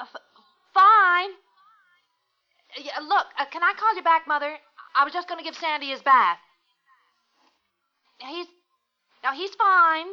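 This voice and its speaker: An actress playing an affectionate, easily flustered young American mother in an old-time radio drama. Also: sits high. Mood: flustered, polite. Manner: motherly coaxing and chiding. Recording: dull, noisy old radio recording.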